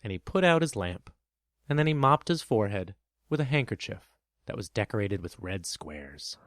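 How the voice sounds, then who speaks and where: A man reading scripted storybook narration in an expressive storytelling manner. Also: on the low side, moderate pace, dry recording, clean studio sound.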